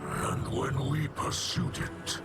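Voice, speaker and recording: A man playing a solemn, haunted alien leader in a game cutscene, with a breathy, gravelly rasp and slow, grave delivery. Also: medium pitch, level tone, natural voice. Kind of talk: dramatic game cutscene acting. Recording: loud music under the voice.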